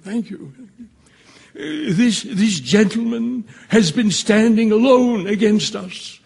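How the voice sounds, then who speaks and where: An actor playing a mild, dignified old watchmaker juror in a radio drama, speaking politely and earnestly with some hesitation. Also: male voice, high-pitched, creaky, darkish tone, slightly rough, full character voice.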